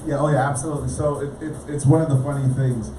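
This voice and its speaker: A Guyanese-born lawyer, a man, guesting on a radio talk show, speaking haltingly with many repeated words. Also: mid-range voice, flat delivery. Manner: halting, repeats words, spells things out slowly. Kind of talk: casual radio interview. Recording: echoey room, mic far off, noisy.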